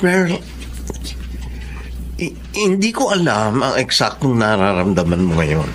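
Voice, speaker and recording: An actor playing a gentle, loyal male friend in a radio drama, speaking calmly and reassuringly. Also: on the low side, measured pace, a little hiss or hum. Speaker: supportive friend. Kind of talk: Tagalog radio drama acting.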